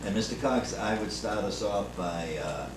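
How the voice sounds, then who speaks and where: A man leads an oral history interview in a courteous, formal manner, filling his questions with frequent pauses. His voice is low. The recording is distant and roomy, with clear background hiss. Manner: formal, courteous, with many fillers. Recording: echoey, distant, noisy room.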